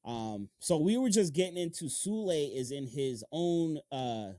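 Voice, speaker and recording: A man's voice: fairly high pitch, lively intonation, a little slow, warm tone, no room sound, studio quality. A man hosts a casual interview, talking in loose slang with frequent swearing and repeating words for emphasis. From Long Island, United States. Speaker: podcast host.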